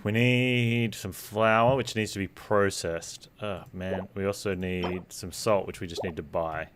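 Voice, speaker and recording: A man's voice: sits low, fairly even intonation, unhurried, noisy background. A man giving casual, offhand gameplay commentary as he streams a video game. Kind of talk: casual gameplay commentary, reading dialogue aloud.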